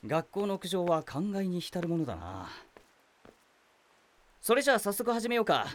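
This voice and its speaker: An actor playing a calm, formal male high school teacher in a voice drama, speaking in a measured, gently lecturing way. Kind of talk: amateur voice drama.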